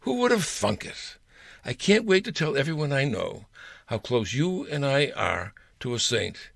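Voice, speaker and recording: A man's voice: mid-range voice, sing-song, unhurried, gravelly, fairly bright tone, no echo. A man reading aloud his own first-person anecdote as a storyteller.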